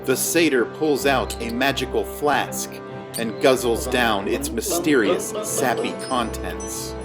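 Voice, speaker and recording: A man reading scripted fantasy narration in a measured storytelling manner. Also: mid-range voice, animated, over loud music.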